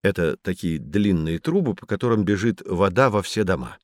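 Patient friendly professor teaching kids, audio play, lowish voice, really animated delivery. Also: male voice, normal speed, dry recording, clean studio sound. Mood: explaining. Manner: instructive, encouraging. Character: kindly, patient male professor guiding children. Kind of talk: scripted educational audio play.

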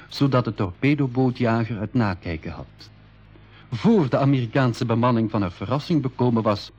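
An actor playing a young adventurer and storyteller in a 1980s school radio drama, with a husky, breathy voice. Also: male voice, mid-range voice, a little mellow, highs cut off, natural voice. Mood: calm narrating. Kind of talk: 1980s school radio play acting and narration.

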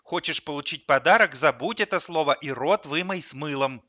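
A man's voice: on the high side, normal speed, no echo, lo-fi sound, voice pushed up. Man playing kindly chiding old Grandfather Frost. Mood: mildly indignant. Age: older. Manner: sweetly indulgent, gently chiding.

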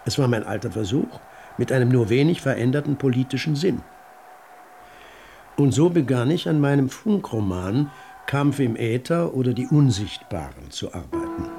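An actor playing a sardonic narrator recounting his past in florid, deliberate literary radio drama style. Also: medium pitch, big pitch swings, gravelly, warm tone, a little hiss or hum. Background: music.

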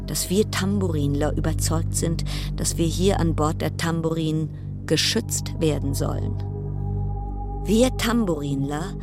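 Slow, brooding, low-voiced woman at sea. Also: music in the background. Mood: ironic, musing. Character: uneasy, wry woman confined aboard a quarantined cruise ship. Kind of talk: radio play interior monologue.

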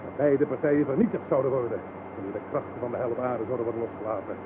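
An actor playing a pompous, fanatical, self-glorifying general in a radio play, with a grandiose, speech-making delivery. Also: male voice, mid-range voice, rapid-fire, natural voice. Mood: grandiose, boastful. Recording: noisy, dull 1970s radio recording.

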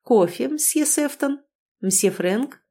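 A woman voicing a sly male estate manager who speaks courteously and smoothly. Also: sits high, animated, slow, warm tone, pitched above the performer's own voice, heavily acted. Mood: polite.

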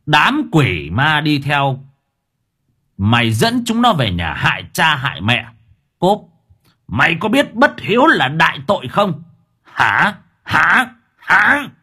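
A man's voice: sits low, sing-song, moderate pace, a bit bright, breathy edge, voice pushed down, heavily acted. A man voicing a brash exorcist master who scolds, gloats and barks. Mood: furious interrogation.

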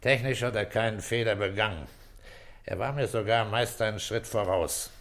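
A shrewd senior inspector speaks in a low voice, laying out his reasoning with deliberate authority. He sounds weary and paternal, in the manner of an old radio crime play. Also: fairly bright tone. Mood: dry, matter-of-fact. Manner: deliberate, authoritative, explaining step by step. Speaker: police inspector. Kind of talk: old German radio crime drama acting.

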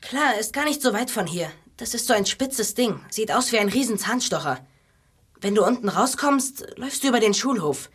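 An actress in a German radio drama playing a casual, plucky youngster with a matter-of-fact manner. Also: female voice, fairly high pitch, lively intonation, moderate pace, breathy edge. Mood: casual, helpful.

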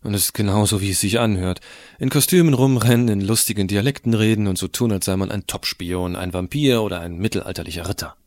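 A man playing a geeky, cheeky teenage boy who tells his story in colloquial, expressive first-person audio drama narration. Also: on the low side, fast talker, dry recording, natural voice. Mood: wry, animated.

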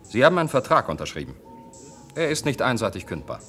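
An actor playing a coldly courteous, menacing clinic doctor in a radio play, polite and condescending. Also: male voice, medium pitch, wildly swooping pitch, quick pace, fairly bright tone, faint music behind. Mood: cool menace. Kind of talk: German radio play acting.

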